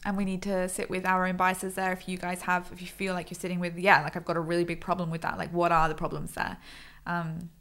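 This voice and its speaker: A woman co-hosting a podcast, chatting in an easygoing way, tired and low on energy. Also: completely flat delivery, fast talker, fairly bright tone. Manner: easygoing, laughs often. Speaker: podcast co-host. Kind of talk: casual chat.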